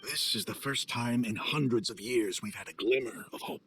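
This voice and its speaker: Actor as a bright-voiced, dignified mercenary man. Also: mid-range voice, a little hiss or hum, close to an everyday voice. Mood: solemn, admiring. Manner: solemn, measured, rhetorical. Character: dignified, heartfelt male desert mercenary leader. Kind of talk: video game cutscene voice acting. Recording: faint music behind voice.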